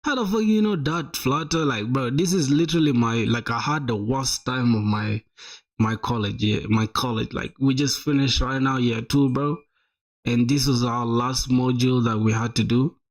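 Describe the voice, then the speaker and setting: A man's voice: mid-range voice, normal speed. A young man live-streaming, reviewing viewers' websites in a casual, slangy way, full of "bro" and "my boy".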